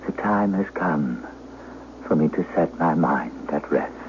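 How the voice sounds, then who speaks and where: An actor playing a frail, dying old shepherd, speaking in a weary, solemn rustic manner in an old radio drama. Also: male voice, extremely low pitch, animated, unhurried. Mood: grave, weary. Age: elderly. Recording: dull vintage radio, faint hiss.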